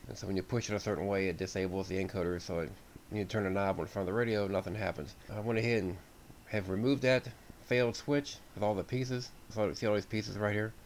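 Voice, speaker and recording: A man's voice: lowish voice, steady tone, fast, slight background noise. An American man giving an informal, folksy repair walkthrough as a radio hobbyist, thinking aloud without a script.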